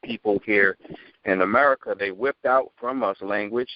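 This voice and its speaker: A man in a casual group discussion, halting with many ums, calling others brother. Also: on the low side. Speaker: podcast panelist. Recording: dull dry lo-fi audio.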